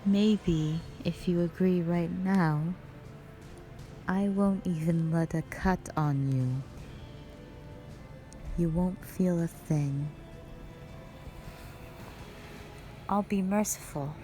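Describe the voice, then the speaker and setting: A woman performs a cruel spider-woman monster from a fantasy audio roleplay. Her voice sits a little low and she draws her taunts out very slowly, condescending and falsely sweet. Music plays clearly behind her. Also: dark tone. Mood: coaxing, threatening. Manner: slow, drawn-out, condescending taunting.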